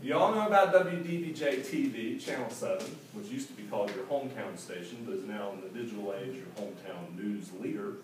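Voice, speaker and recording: A man in his forties, an author reading from his own book to an audience in a school hall, telling it in a lively, humorous way with asides. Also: lowish voice, brisk, few pauses. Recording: echoey hall, distant mic, faint hiss.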